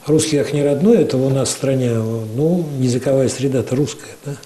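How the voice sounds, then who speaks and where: A man answering interview questions at length as an official, explaining in a measured way with frequent hesitations. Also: medium pitch, mellow. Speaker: interview guest, cultural organization official.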